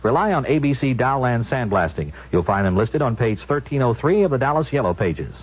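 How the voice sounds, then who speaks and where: Male announcer, husky voice, scripted vintage radio advertisement, muffled recording. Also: mid-range voice, animated, a little fast. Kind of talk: scripted 1970s radio ad read. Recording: dull old radio broadcast recording.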